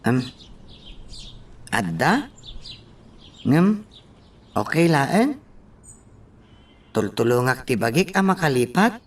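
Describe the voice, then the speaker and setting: A man's voice: mid-range voice, sing-song, slow pace, long pauses, breathy edge, far from the mic, heavily acted. An actor playing a meek, hesitant young man who stammers unsurely in a radio drama. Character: hesitant, meek young man, eager to please his mother.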